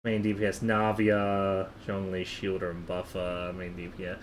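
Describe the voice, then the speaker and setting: A man's voice: sits low, monotone, normal speed. A man streaming a game in casual chat, rambling and thinking aloud with the odd casual swear, over noticeable background noise. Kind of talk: casual live stream chat while gaming.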